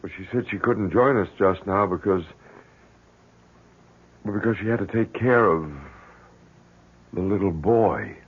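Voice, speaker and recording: A man's voice: fairly low pitch, husky, phone-quality sound. An actor playing a worried, insistent middle-aged father who stumbles and repeats himself, in 1970s radio drama style. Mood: bewildered. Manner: halting, repeats and stumbles over words. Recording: thin, band-limited 1970s radio recording.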